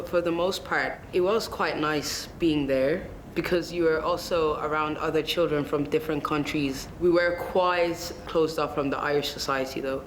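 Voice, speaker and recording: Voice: medium pitch, a bit bright, roomy sound, rough recording. Young adult from Zimbabwe, some background noise. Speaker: documentary interviewee, former resident. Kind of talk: reflective interview account.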